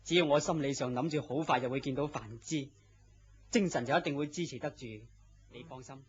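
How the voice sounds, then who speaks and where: A man's voice: medium pitch, brisk, husky, lightly acted. A man with a Hong Kong Cantonese accent playing a weary, melancholy, lovesick young man in a radio drama, earnest and plaintive. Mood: determined, earnest. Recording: dull lo-fi radio drama audio.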